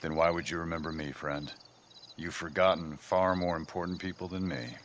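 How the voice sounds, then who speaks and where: A mysterious, knowing stranger in a Western game. His voice is very deep with a bright, forceful edge, probing and quietly menacing, over faint insect noise. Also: steady tone. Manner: deliberate, probing, insinuating. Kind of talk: video game cutscene acting.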